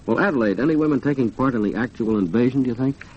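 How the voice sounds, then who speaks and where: An American man announcing and co-hosting on a 1940s radio program, with polished, measured phrasing. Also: mid-range voice, husky. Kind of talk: 1940s radio announcing and scripted sponsor talk. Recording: dull, band-limited 1940s radio recording.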